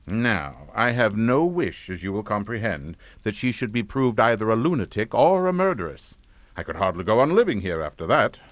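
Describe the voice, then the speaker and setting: An actor playing a politely menacing upper-class English father in a radio suspense drama, speaking in a clipped, formal, deliberate way. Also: lowish voice, big pitch swings, dry recording. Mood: cold calculation. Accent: British.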